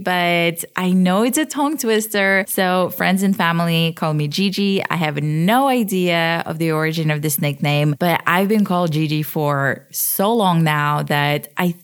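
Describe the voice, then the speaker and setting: A woman in her thirties from Switzerland hosts her own podcast and talks the listener through her story. Her voice sits a little low for a woman. She keeps it candid and chatty, often laughing at herself. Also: measured pace, hardly any pauses, smooth. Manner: conversational, candid, self-deprecating. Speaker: podcast host. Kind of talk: personal storytelling monologue.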